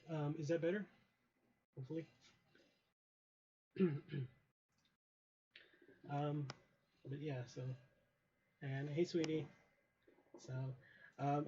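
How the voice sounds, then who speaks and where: A young man with a hoarse voice chatting casually on a livestream, rambling with many ums while telling a wrestling story over a headset mic. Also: fairly high pitch, fairly even intonation, slow, long pauses, mellow. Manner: rambling, many ums and uhs, greets chat viewers. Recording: dull lo-fi stream audio with faint hiss.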